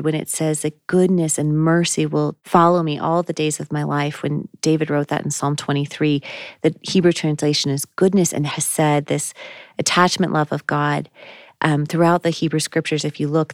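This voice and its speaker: Low-pitched woman co-host, reflective and searching, dry studio sound. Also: dry recording. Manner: thoughtful, searching, with frequent ums. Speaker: podcast co-host. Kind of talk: reflective faith conversation.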